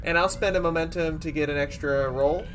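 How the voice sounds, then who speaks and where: Guy at a game session, kinda high voice, joking around casually, noisy background. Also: a little fast. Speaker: tabletop game player. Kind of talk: casual chat.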